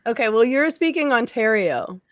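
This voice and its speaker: A woman's voice: a little high, moderate pace, no echo. Chatty Canadian woman host, dry sound. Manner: laughs easily, relaxed and chatty. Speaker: podcast host. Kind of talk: casual interview chat.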